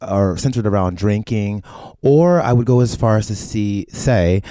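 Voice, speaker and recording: A man hosts a relaxed podcast chat, talking in an animated way with playful asides. His voice sits low and sounds soft and mellow. The recording is dry and close. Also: unhurried, no room sound. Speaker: podcast host. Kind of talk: casual conversational interview.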